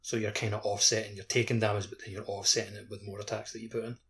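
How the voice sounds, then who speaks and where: Low-voiced man, laid-out casual tips. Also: little pitch movement, racing, a bit bright. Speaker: podcast co-host. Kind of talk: casual gaming podcast chat.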